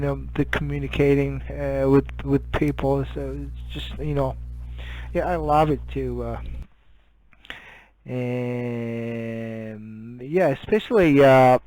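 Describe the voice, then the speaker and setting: A middle-aged man with a Japanese accent chatting as an amateur radio operator, halting and often searching for words. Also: medium pitch, darkish tone, clean tone, low-quality recording. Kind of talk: casual radio contact chat.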